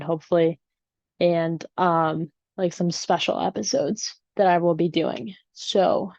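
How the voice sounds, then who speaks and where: A woman's voice: on the low side, darkish tone, no room sound. Woman host with a Chicago accent, easygoing solo podcast chatter, recorded in a dry room on a slightly muffled mic. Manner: rambling and chatty, with many ums. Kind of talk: casual solo podcast chat. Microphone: home setup without usual equipment.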